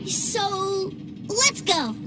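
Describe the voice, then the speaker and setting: Eager little boy, very high-voiced. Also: very animated, drawn-out words, full character voice. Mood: earnest. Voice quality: childlike. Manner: eager, innocent. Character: sweet, excitable little boy who adores his brother. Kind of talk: video game voice acting. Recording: background music throughout.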